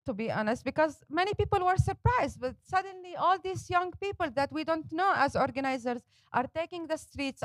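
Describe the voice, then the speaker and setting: A woman's voice: high voice, fairly bright tone, dry recording, low-quality recording. A woman, speaking passionately and emphatically as a panelist and organizer at a forum. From Palestine. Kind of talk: panel talk.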